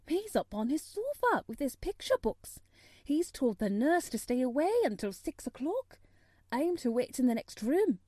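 A woman's voice: high voice, sing-song, moderate pace, dark tone, no room sound, studio quality, pitched above the performer's own voice. Woman as a humble housemaid. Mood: excited. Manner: deferential, dialect-speaking. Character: humble, anxious young Yorkshire housemaid.

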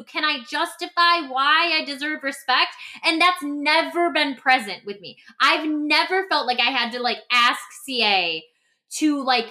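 Bright, high-voiced woman chatting on a podcast. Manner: animated, emphatic, acts out imagined quotes; informal, peppered with "like". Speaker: podcast host and coach. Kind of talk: casual conversational podcast talk.

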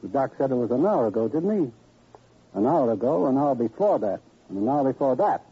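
A glib, defensive fight promoter with a heavily husky voice and slangy tough-guy patter. His pitch sits in the middle. The sound is a muffled old radio drama recording. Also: big pitch swings, brisk, exaggerated voice. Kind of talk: old-time radio crime drama acting. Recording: dull, lo-fi vintage radio recording.

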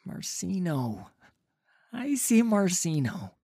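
A man's voice: mid-range voice, lively intonation, slow, slightly rough, dry recording, clean studio sound, pitched above the performer's own voice, exaggerated voice. A man voicing a frightened, grieving young boy in a fantasy story, timid, strained and choked. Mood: choked grief.

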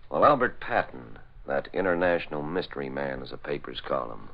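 An actor playing a suspicious, authoritative federal bureau chief, brisk and no-nonsense, in 1940s radio drama style. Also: male voice, very deep voice, expressive, exaggerated voice. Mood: businesslike. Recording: dull old radio recording.

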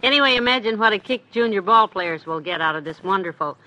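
A woman's voice: mid-range voice, lively intonation, fast talker, bright, forceful tone, low-quality recording. An American woman playing a sensible, teasing middle-aged wife in a 1950s radio comedy, fond but chiding. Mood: cheerful. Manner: chiding, good-humored.

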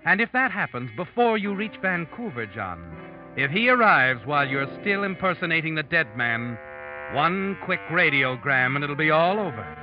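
An ominous narrator of a vintage radio play, taunting the listener as the guilty one. His fairly high voice is deliberate, over clear music on a dull recording. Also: big pitch swings. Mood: taunting, foreboding. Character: ominous, knowing, taunting male storyteller addressing the murderer. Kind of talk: dramatic second-person narration, old-time radio. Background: dramatic music.

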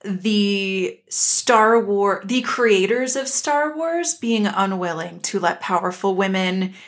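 A woman with a medium-pitched voice chats as a podcast co-host. She is casual and opinionated, stressing her points with wry emphasis. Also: expressive, slow pace. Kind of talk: casual pop-culture discussion.

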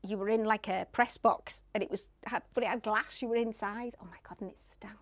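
A woman's voice: mid-range voice, fairly even intonation, brisk. A woman with a Northern English accent, a sports journalist chatting casually and self-deprecatingly in an interview, often laughing.

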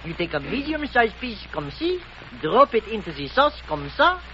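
An actor playing a pompous French chef with a French accent, who talks grandly and mixes in French phrases, in 1940s radio acting. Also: male voice, high voice, huge pitch swings, moderate pace, sharp tone, full character voice. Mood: savoring. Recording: dull old radio recording, hiss.

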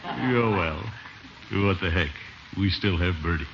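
An American actor playing a blustery, soft-hearted middle-aged family man in a 1950s radio comedy. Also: deep voice, measured pace, vocal fry, loud music behind the voice. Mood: jovial, blustery. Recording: noisy, muffled vintage radio recording.

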